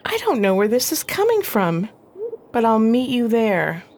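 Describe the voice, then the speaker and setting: An actress plays a proper preacher's wife in a radio play, composed and gently firm. Her fairly high voice is very varied in melody, with faint noise behind it. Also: huge pitch swings, measured pace, heavily acted. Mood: brisk, reproving. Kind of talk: radio drama acting.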